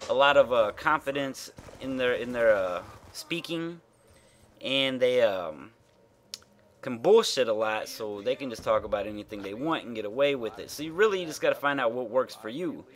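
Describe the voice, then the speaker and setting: A man's voice: medium pitch, lively intonation, moderate pace, a little hiss or hum. A man with a nasal voice giving frank, rambling commentary over gameplay as a gaming YouTuber, full of fillers and the odd swear.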